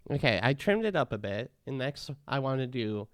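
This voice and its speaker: A man narrating a casual step-by-step software tutorial in a plain, instructional manner. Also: mid-range voice, very animated, normal speed, clear, resonant tone, dry recording, clean studio sound.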